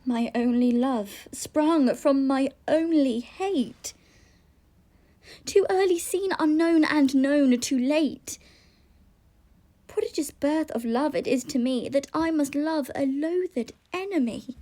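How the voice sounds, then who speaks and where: A young noblewoman in love, acted by a woman in a Shakespeare radio play. She speaks slowly in a high, gentle, mellow tone, giving each line of verse room. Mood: stricken, lovelorn. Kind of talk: amateur Shakespeare audio drama. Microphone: phone, recorded at home.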